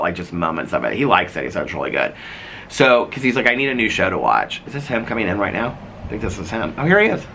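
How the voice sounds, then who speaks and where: A man's voice: on the low side, pushed and bright. A man in his fifties with a Midwestern American accent, losing his voice so it sounds crackly, rambling chattily to camera on an outdoor porch. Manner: rambling, chatty, many fillers and restarts. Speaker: vlogger. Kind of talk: casual chat to camera.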